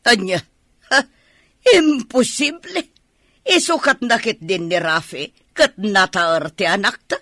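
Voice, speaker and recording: A woman's voice: medium pitch, lively intonation, slow, sharp tone, dry recording, clean studio sound. A woman with an Ilocano accent playing a haughty, well-off older mother in a radio drama, dismissive and doubting. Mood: disbelieving.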